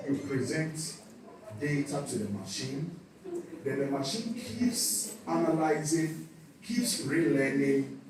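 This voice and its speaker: A man's voice: on the high side, darkish tone, slight background noise. A man with a Ghanaian accent giving a talk at a church youth session. He often repeats a word while searching for the next one. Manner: repeats words while searching for them, then speeds up. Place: church hall. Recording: echoey church hall, mic at a distance.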